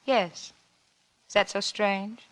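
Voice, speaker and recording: An actress playing a cunning, coolly blackmailing wife in a 1940s radio suspense drama, with a coy, teasing, insinuating manner. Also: female voice, mid-range voice, fairly even intonation, takes it slowly, a bit bright, poor audio. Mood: coolly calculating. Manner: coy, teasing, insinuating drawl with theatrical swoops.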